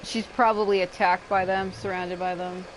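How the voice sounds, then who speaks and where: A woman's voice: lowish voice, flat delivery, moderate pace, a little hiss or hum, rough recording. A woman livestreaming a game, giving casual, rambling, offhand commentary with some swearing. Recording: game music playing behind the voice. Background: game music.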